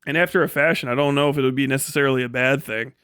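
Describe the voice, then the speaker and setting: A man's voice: medium pitch, steady tone, very fast, a bit bright, smooth, no echo, clean studio sound. An American man streaming live, chatting casually with his audience in a blunt, profane, teasing way. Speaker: live streamer and host reading chat. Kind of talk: casual livestream chat with joking rants.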